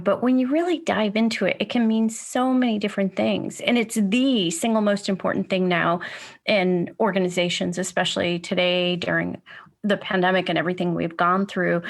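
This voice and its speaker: A woman's voice: medium pitch, fairly bright tone. A woman hosting a podcast, reading a scripted intro and then chatting with her guest in an upbeat, enthusiastic way. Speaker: podcast host.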